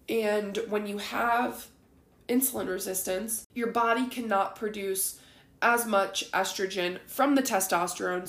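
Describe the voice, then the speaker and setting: A woman in her twenties hosting a podcast, rambling candidly in a raspy, groggy voice with plenty of ums. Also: mid-range voice, echoey room. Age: 20s. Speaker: podcast host. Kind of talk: casual solo ramble.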